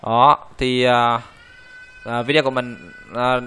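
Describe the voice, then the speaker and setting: A man giving an informal software tutorial, often repeating words and phrases. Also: medium pitch, flat delivery, slow, clean tone. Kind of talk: informal screen-recorded tutorial.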